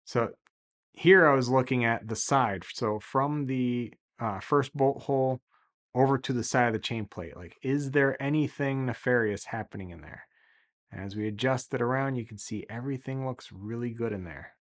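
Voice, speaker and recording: Man in his 30s, easygoing enthusiastic explainer, mid-pitch voice, small office, desk-distance camera mic. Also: normal speed. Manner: relaxed, enthusiastic, many "really" and "uh" fillers. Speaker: sailing vlogger explaining boat repairs. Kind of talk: casual explanatory talk to camera.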